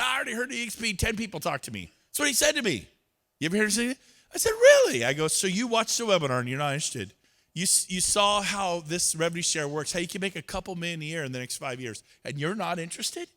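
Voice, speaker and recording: A man's voice: high voice, bright, forceful tone. A man giving a motivational talk on stage, acting out both sides of conversations with others. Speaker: real estate speaker. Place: event stage.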